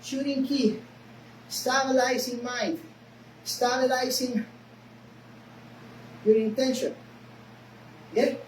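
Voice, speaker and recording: Slow-speaking Spanish-accented woman teaching karate. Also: sits high, steady tone, a little mellow. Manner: short broken phrases, often checks in with okay. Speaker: karate instructor. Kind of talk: teaching a class. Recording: echoey room, mic far off, noisy.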